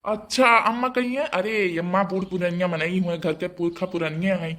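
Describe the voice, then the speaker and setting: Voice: mid-range voice, fairly even intonation, normal speed, close to an everyday voice. A performer voicing a young married man, dutiful to his mother, with an Awadhi accent in a family cartoon. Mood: dutiful, admonishing. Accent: Awadhi, eastern Uttar Pradesh.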